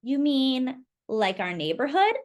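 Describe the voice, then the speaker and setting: A woman's voice: high-pitched, very animated, takes it slowly, heavily acted. A woman voicing an eager young storybook girl. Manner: earnest, wondering. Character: young girl eager to remember her birthplace.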